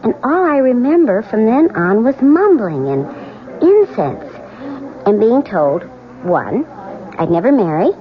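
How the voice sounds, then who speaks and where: An actress playing a chatty, flighty, concerned friend with a gushing, hesitant manner in a radio drama. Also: female voice, fairly high pitch, big pitch swings, slow pace. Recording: muffled old radio broadcast.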